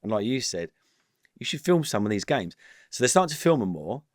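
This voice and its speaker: A low-voiced man talks business on a podcast, animated and rambling, often restarting his sentences. The sound is close and dry, with no room around it. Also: brisk, no room sound. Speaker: podcast guest, company executive. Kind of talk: casual business interview.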